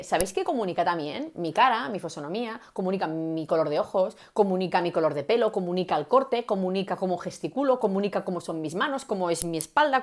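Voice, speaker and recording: Woman, communication coach, mid-range voice, demonstrative, chatty live-stream delivery. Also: medium pitch. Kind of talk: live-stream teaching talk.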